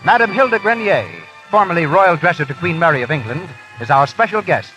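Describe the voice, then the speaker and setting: A man's voice: on the high side, lively intonation, brisk, pushed and bright, rough recording. An American man announcing in formal, polished 1930s radio style. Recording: old radio broadcast with music. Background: music.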